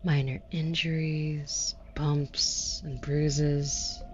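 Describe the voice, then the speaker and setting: Woman acting a low, unhurried, haughty demon woman. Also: little pitch movement, darkish tone. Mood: cool, probing. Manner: sardonic, condescending, drawling. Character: haughty, flirty, sardonic female demon buyer at an auction. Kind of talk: scripted audio roleplay acting. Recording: muffled, with audible background noise.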